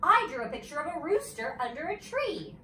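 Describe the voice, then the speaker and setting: A woman voicing an excitable, sassy young schoolgirl in a children's storybook, with blunt, childish phrasing. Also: high voice, fairly quick, echoey room, recorded from a distance, a little hiss or hum, pitched above the performer's own voice, heavily acted. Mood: excited. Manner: childish grammar, blunt and emphatic.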